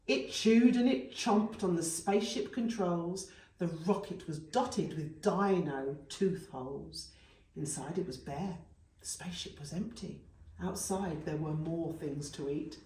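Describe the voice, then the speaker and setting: Slow, very animated woman reading to children. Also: on the low side, a little hiss or hum. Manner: animated, dramatic storytelling for young children. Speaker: nursery storyteller. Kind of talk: expressive read-aloud children's story. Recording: echoey room, distant mic.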